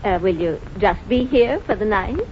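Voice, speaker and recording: A woman's voice: medium pitch, expressive, normal speed, a little hiss or hum, natural voice. An actress in a 1940s radio drama playing a kindly, fussy old grandmother with a homespun, chiding manner. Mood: kindly, hospitable. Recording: muffled vintage radio recording, music behind.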